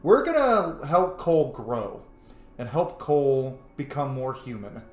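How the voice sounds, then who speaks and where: A man's voice: medium pitch, very animated, unhurried, a little mellow, soft background music. Man commentating a game, roomy sound. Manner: informal, sweary, thinking aloud. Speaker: gamer commentating on his playthrough.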